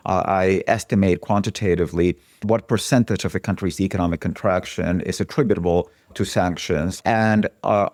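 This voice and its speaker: A man with a Venezuelan accent, an economist answering interview questions in a measured, analytical way. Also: on the low side, darkish tone, no room sound, studio quality. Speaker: economist guest.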